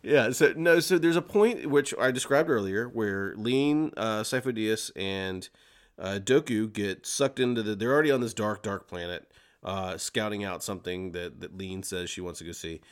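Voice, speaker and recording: A man hosting a podcast, casually retelling and discussing a story as a fan, explaining it in an animated way. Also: on the low side, normal speed, smooth. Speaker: podcast host.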